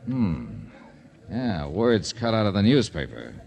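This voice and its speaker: A man's voice: on the low side, big pitch swings, unhurried, gravelly, darkish tone, slight background noise, exaggerated voice. A man playing a wry, easygoing private troubleshooter in a classic radio drama, with an American accent and a dry, teasing delivery. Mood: polite, probing. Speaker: lead actor in detective radio drama. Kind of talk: classic 1940s radio acting.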